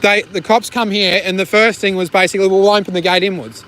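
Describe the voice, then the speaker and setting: An Australian man in a casual argument, talking loudly and often cutting in to make his point. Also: sits high, fairly even intonation, fast. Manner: interjecting, loud. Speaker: resident, video maker. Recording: outdoors with faint vehicle noise.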